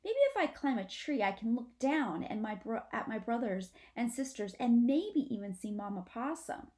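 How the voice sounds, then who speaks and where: A woman's voice: fairly high pitch, expressive, moderate pace, darkish tone, lightly acted. A woman voicing a timid, earnest young female possum in a children's storybook. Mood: anxious, thinking. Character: timid littlest young female possum, anxious but reasoning. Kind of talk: children's storybook read-aloud.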